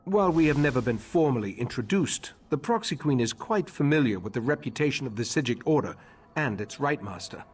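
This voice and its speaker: An actor playing a grave, remorseful elven mage leader in a fantasy game, speaking formally with measured, slightly husky delivery. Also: male voice, medium pitch, animated, slight background noise, close to an everyday voice. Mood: measured, informative. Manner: measured, formal, solemn exposition. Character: grave elderly male high elf mage leader, remorseful and scholarly.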